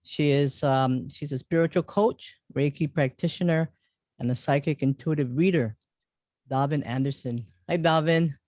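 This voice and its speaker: A man hosting an interview show, welcoming a guest and asking questions in a halting, loose way. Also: on the high side, darkish tone, no room sound. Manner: halting, full of fillers and restarts.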